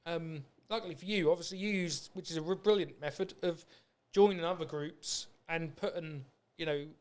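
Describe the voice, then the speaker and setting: A man's voice: a little high, moderate pace, darkish tone. A British man hosting a podcast in a casual, rambling, anecdotal way. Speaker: podcast host. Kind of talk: casual chat.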